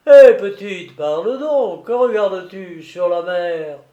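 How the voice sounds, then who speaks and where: A man voicing a drunken, jovial, boastful lighthouse keeper in a dramatised audiobook, with loud, coarse, exclamatory seaman's talk. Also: high-pitched, slow pace, roomy sound, highs cut off, pitched above the performer's own voice. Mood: tipsy, rowdy.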